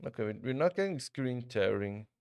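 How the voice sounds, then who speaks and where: A young man from Switzerland streams a game live and talks loosely with chat, picking up his thoughts again partway through. His voice is gravelly with a lot of fry and sits at an ordinary pitch. The sound is dry and close to the mic. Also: brisk, no echo. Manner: relaxed, chatty, talks to chat, frequent restarts. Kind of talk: casual live-stream commentary while gaming.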